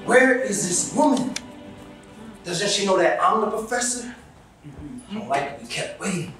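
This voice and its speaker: A man's voice: high voice, lively intonation, normal speed, music in the background. A man acting a pompous, possessive professor and jealous lover in a stage play. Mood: pompous, displeased. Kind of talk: theatrical stage play dialogue. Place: indoor theatre stage, recorded from afar. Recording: echoey stage, distant mic, audience noise.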